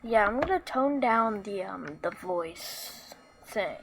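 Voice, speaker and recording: Woman, squeaky voice, rambling, casual tutorial, slow talker. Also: expressive, a little hiss or hum. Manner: rambling, many fillers and restarts. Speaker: tutorial maker. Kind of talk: casual screen-recorded tutorial.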